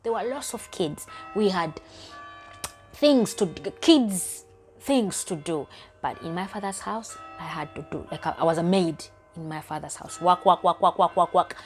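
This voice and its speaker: Low-voiced woman from Uganda, confiding. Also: normal speed, faint music behind. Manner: candid, emotional, often dropping to a near whisper. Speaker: vlogger. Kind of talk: personal storytelling vlog.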